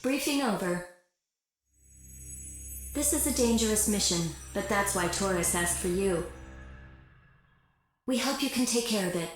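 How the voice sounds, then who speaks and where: An actress playing a composed military operator, giving a formal, procedural mission briefing for a mech game. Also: female voice, medium pitch, unhurried, long pauses, close to an everyday voice. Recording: very echoey, music underneath.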